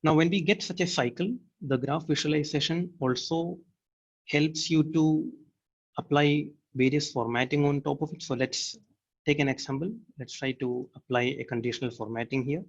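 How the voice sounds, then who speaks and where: A man giving a step-by-step software demo as a technical presenter, explaining in a halting way. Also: fairly high pitch, dull audio.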